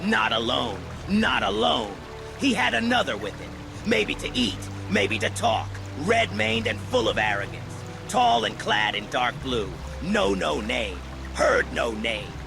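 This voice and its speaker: A man voicing a sly, cowardly little goblin in a fantasy game, gravelly and pleading in broken, servile phrases. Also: a little high, very animated, measured pace, heavily acted. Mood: furtive, cryptic. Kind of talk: fantasy game cutscene acting. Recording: music behind the voice.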